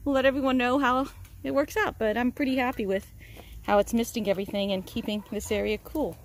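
A woman hosting a casual how-to walkthrough outdoors in her backyard, filming on a handheld phone, upbeat and chatty, with fillers and pauses. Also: on the high side, level tone, a little hiss or hum, low-quality recording. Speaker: channel host. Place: outdoors in a backyard chicken run.

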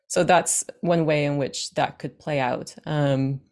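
A low-pitched woman in her thirties talks over a video call from her living room. She explains as a guest coach, unhurried and clear, and reaches for everyday comparisons to make a point. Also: steady tone, a little mellow. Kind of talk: explanatory interview answers. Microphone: webcam on a video call.

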